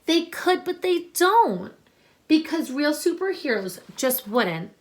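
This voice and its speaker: A woman teacher reading a picture book aloud to children, expressive and instructive, pausing to explain and ask questions. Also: sits high, slight background noise. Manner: deliberate, instructive, addressing young listeners with questions.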